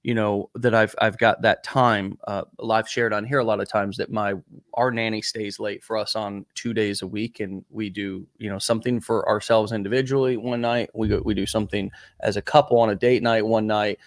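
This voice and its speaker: A man's voice: lowish voice, flat delivery, normal speed, no room sound, studio quality. A middle-aged man hosting a podcast interview, talking at length and often repeating words as he searches for his phrasing. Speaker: podcast host. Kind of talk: conversational interview.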